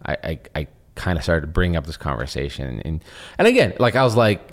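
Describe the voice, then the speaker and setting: A man's voice: low-pitched, sing-song, brisk. A young man who grew up speaking Russian, co-hosting a podcast in a rambling casual chat full of fillers and restarts. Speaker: podcast co-host.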